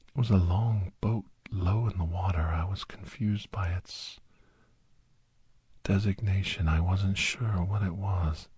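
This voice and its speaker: A man narrating a suspenseful first-person ASMR story in a hushed, half-whispered voice with dramatic pauses. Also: low voice, steady tone, breathy edge, no room sound. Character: doctor recounting a monster-hunting adventure, grave and awed.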